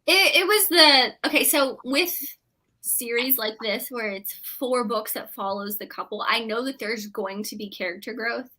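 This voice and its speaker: A woman's voice: fairly high pitch, normal speed, sharp tone. A woman in a casual book chat, talking emphatically and acting out her reactions as a panelist.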